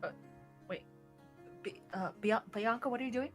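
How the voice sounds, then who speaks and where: A woman's voice: a little high, normal speed, soft background music, close to an everyday voice. A woman playing a meek, apologetic job seeker in a comedy radio drama, hesitant and stammering as she pleads. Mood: apologetic, flustered. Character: meek, flustered young woman desperate for a job.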